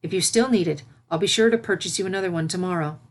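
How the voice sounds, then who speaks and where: Lady doing a calm royal mare teacher, lowish voice, soft measured, cartoony. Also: close to an everyday voice. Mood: apologetic. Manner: gentle, measured instruction. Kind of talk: cartoon fan fiction character reading.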